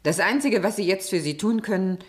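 A woman's voice: medium pitch, animated, fairly quick, natural voice. A woman playing a worldly, perceptive and frank confidante in a German radio play. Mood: frank, reproving.

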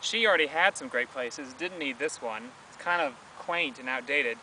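A man's voice: medium pitch, lively intonation, normal speed, pushed and bright, a little hiss or hum. A young man co-hosting a travel vlog, chatting casually and jokily outdoors on a lawn, recorded on a camera several meters away. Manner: jokey, chatty, trails off with ums. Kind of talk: casual on-location chat. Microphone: camera mic from a few meters away. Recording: distant outdoor camera audio.

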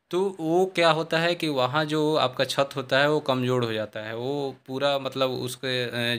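A man's voice: medium pitch, a bit bright, clear, resonant tone. A man explaining construction mistakes to viewers while walking through an unfinished brick house, recorded on a handheld phone. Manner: instructive, addresses viewers as friends, frequent restarts. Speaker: civil engineering channel host. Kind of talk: walkthrough explainer on a building site.

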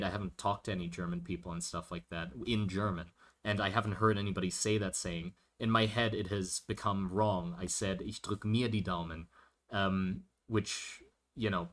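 A man chatting casually on a livestream while he draws, thinking aloud and answering viewer questions with many restarts. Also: fairly low pitch. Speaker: streamer drawing a fantasy world.

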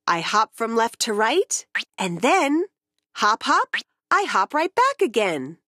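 A woman's voice: fairly high pitch, big pitch swings, slow, sharp tone, no room sound, clean studio sound, exaggerated voice. A woman voicing a tiny eager hopping creature in a storybook, with a bouncy, rhythmic delivery. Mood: playful.

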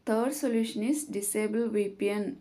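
A woman reading scripted step-by-step tutorial narration in a measured, instructional manner. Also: medium pitch, steady tone, mellow.

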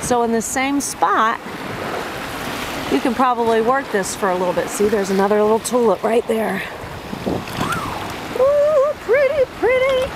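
Very loud wind and water rush around a woman with a fairly high voice. She talks casually into a handheld camera outdoors at the sea, giving a running commentary. Her manner is excitable, full of exclamations and half addressed to herself. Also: normal speed. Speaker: shell-collecting vlogger. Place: outdoors, wading in the sea at a beach. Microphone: handheld camera's own microphone.

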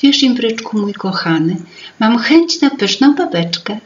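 Storybook teapot character played by a woman: small, squeaky, eager, raised pitch, lively swoops, slightly muffled recording. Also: unhurried. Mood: joyful. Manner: squeaky, excited. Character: eager little teapot creatures, cheerful and curious. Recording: dull lo-fi home recording.

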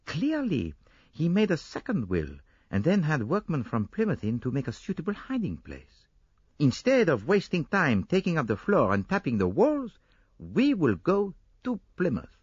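A man voicing an older, fussy and excitable Belgian detective with a pompous, theatrical manner. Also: on the high side, normal speed, slightly rough, dry recording, muffled recording, voice pushed up, full character voice. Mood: self-assured.

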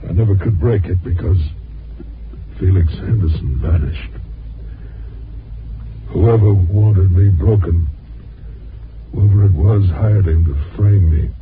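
Washed-up bitter reporter, old radio play, noisy phone-like recording. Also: male voice, low-pitched, slow, pauses often. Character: bitter, disgraced washed-up newsman recounting his downfall. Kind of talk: 1950s radio drama acting. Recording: noisy, narrow-band 1950s radio recording.

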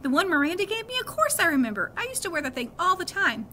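A middle-aged woman voicing an earnest, indignant fifth-grade boy from a storybook in an animated, emphatic way. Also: extremely high pitch, fast, a little hiss or hum, pitched above the performer's own voice, heavily acted. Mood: teasing.

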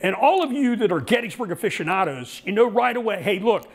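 A middle-aged man with a loud, energetic voice, giving a public lecture to an audience in an indoor hall as the head of a foundation. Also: sits high, brisk. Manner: loud, energetic, gestures broadly while talking. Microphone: handheld microphone.

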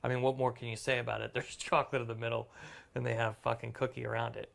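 A man in his forties speaking deadpan and dry, in comedic banter on a living-room couch. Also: medium pitch, quick pace, gravelly. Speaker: ad copywriter.

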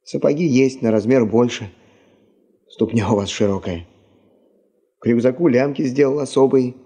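Fussy eager helper on an expedition, really dark husky voice, old soviet radio play. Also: male voice, mid-range voice, normal speed. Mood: eager, deferential. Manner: solicitous, practical.

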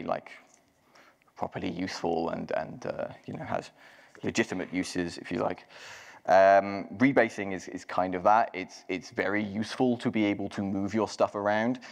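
A man's voice: low-pitched, creaky. A man giving a live technical walkthrough at a conference, casual, with many ums and restarts. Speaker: conference speaker. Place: large conference hall. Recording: long hall reverb.

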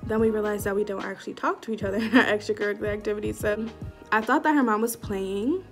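A young woman telling a story to camera in a casual vlog, animated and slangy, acting out what people said, recorded in a small room on a camera at arm's length. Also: medium pitch, fast, soft background music. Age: young adult. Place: small indoor room before a curtain. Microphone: webcam or phone at arm's length.

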